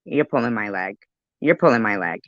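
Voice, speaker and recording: A woman's voice: extremely low pitch, expressive, brisk, no room sound, dull audio. A woman giving casual reaction commentary on a live stream, chatty and argumentative as she interjects.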